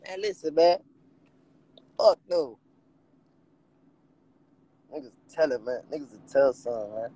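A young man streams from inside a car, the phone held out at arm's length. He talks casually and animatedly, his fairly high voice dipping into low mutters before leaping up again. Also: expressive, a little slow, pauses often, warm tone, low-quality recording. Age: young adult. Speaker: rapper hosting a livestream. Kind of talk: casual livestream chat.

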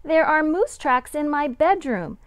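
A middle-aged woman, a kindergarten teacher, reading a picture book aloud to young children with deliberate, sing-song dramatic emphasis. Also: high voice, animated, fairly bright tone, no echo.

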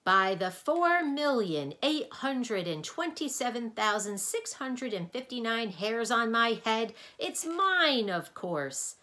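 A woman reading a storybook aloud and voicing a proud, booming male wizard of numbers, shouting loudly and then dropping into a confiding tone. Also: big pitch swings, unhurried. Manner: bellowing, grandiose, then suddenly confiding.

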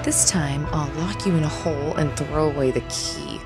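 A woman's voice: sits low, moderate pace. A woman playing a ruthless middle-aged female general who gives orders in a commanding, cruel way. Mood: cold. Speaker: military general. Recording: music bed underneath.

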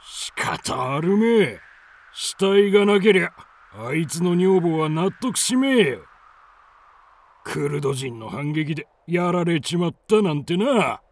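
Gruff, high-pitched raider chieftain. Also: male voice, expressive, a little slow. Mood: businesslike. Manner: rough, commanding, coarse speech. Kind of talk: radio drama acting.

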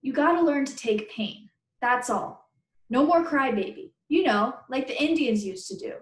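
Woman voicing a pushy, high-pitched American schoolboy. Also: lively intonation, moderate pace, recorded from a distance. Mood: preachy. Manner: blunt, slangy, insistent. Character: pushy, defiant fourth-grade boy egging on his friend. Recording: roomy, echoing webcam recording.

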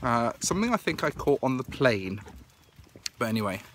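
A British man in his forties talks to a handheld phone with a croaky, cold-roughened voice and a bright, forward tone. He rambles cheerfully with fillers, and faint outdoor noise sits behind him. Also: medium pitch, sing-song, normal speed. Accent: British English. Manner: chatty, thinking aloud with many fillers. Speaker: family vlogger. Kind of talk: casual vlog to camera. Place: outdoors in a large garden and meadow. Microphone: phone camera held at arm's length.

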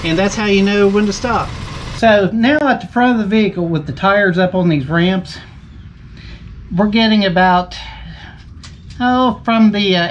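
High-voiced man demoing car ramps. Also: a little hiss or hum. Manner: folksy, plain-spoken, explaining step by step. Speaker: channel host reviewing tools. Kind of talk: casual product demonstration. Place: outdoors by his vehicles.

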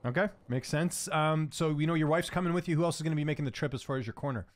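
A man in his thirties hosting an interview, asking questions in a brisk, friendly way. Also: fairly high pitch. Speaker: interview host.

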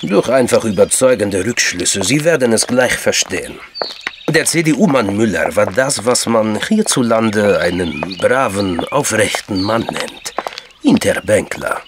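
Calm, knowing intelligence man from Georgia, lowish voice, formal explainer, radio drama, noticeable background noise. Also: measured pace. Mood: calm, explaining. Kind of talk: German crime radio drama dialogue.

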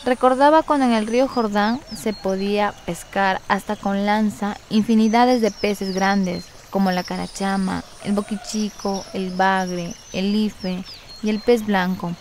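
A woman's voice: medium pitch, steady tone, measured pace, a little mellow, a little hiss or hum. A woman, narrating a scripted first-person documentary radio story.

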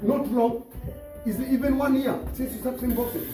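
A man's voice: very high voice, fairly even intonation, normal speed, warm tone. Male boxing coach, upbeat and repetitive, heard from across a roomy gym with music playing. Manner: encouraging, repeats phrases, laughs often. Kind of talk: coaching during a gym session. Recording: echoey gym from far off with music and crowd noise. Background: music and people in the gym.

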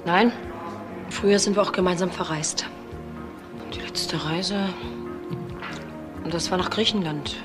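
A woman's voice: fairly low pitch, slow pace, long pauses, music in the background. An actress playing a married woman in a crime drama, guarded and hesitant, torn over a secret affair. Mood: guarded, evasive. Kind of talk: German TV crime drama acting.

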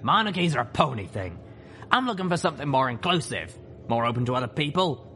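A man voicing a gruff, shrewd older female griffin mercenary leader with a folksy, assured manner. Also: medium pitch, big pitch swings, fairly quick, pushed and bright, slight background noise, pitched above the performer's own voice. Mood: businesslike.

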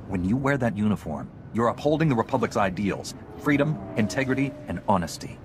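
Deep-voiced noble knight played by a man. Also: animated, fairly quick, background noise, natural voice. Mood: serious. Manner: grave, composed, earnest. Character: calm, principled male Jedi knight hero. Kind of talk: video game cutscene acting.

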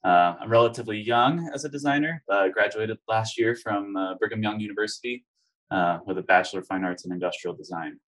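Young American man, relaxed low voice. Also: fairly quick. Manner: relaxed, hesitant with many "uh"s. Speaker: industrial designer and instructor. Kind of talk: casual self-introduction.

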